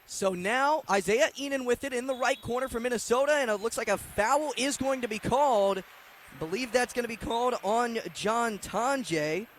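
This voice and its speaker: A very high-pitched man delivers live radio sports commentary over clear background noise. He follows the action excitedly and breaks into shouts when the play gets big. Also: moderate pace. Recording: clearly noisy live broadcast sound.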